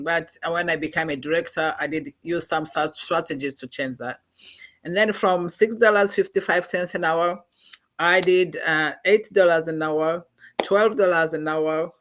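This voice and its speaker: Earnest middle-aged Rwandan woman. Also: on the low side. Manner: earnest, measured, pauses with many fillers. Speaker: guest. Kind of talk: personal testimony in a podcast interview.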